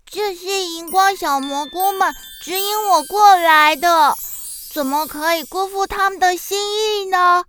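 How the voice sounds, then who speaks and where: High, bright childlike lonely Darkness. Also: female voice, soft background music. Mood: resolute. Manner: childlike, plaintive, wide-eyed, drawn-out exclamations. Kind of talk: children's radio drama acting.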